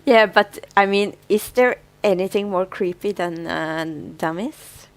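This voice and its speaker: A woman's voice: mid-range voice, measured pace. A woman co-hosting a casual fan podcast, telling stories in a giggly, repetitive way. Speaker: podcast co-host.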